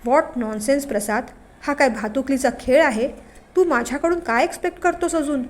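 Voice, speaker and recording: An angry young city woman snaps with scorn, as a narrator voices her. The voice is high and cutting. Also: moderate pace, close to an everyday voice. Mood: scornful. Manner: scornful, sharp.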